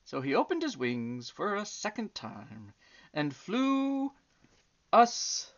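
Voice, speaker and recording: A woman's voice: mid-range voice, big pitch swings, slow pace, muffled recording. A woman reading a children's story aloud expressively, drawing out words with theatrical emphasis. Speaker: storybook reader. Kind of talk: expressive storytelling with chatty asides to young listeners.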